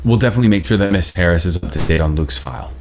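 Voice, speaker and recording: An actor reading a male school administrator at a table read, polite and measured. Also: deep voice, a little mellow, faint music behind, close to an everyday voice. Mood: diplomatic.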